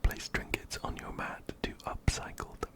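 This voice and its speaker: A man whispering softly and breathily right up close to a binaural microphone, soothingly repeating phrases as he narrates a game. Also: normal speed. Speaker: ASMR gaming host. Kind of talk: ASMR game playthrough, reading dialogue and narrating. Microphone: close-up binaural ear-to-ear mic.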